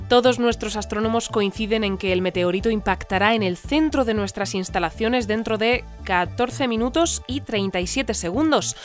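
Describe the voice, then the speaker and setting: An actress playing a formal, measured, unflappable radio newsreader in an audio drama. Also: female voice, mid-range voice, natural voice. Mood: serious, composed. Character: composed female radio newsreader of a sci-fi community. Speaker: news anchor. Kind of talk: scripted news bulletin, fiction podcast. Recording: dry studio with music bed.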